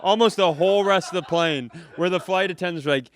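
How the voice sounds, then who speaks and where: A man hosting a comedy podcast, telling a story in a casual, animated way and slipping into other people's voices. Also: sits high, fast talker. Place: live venue, close mic.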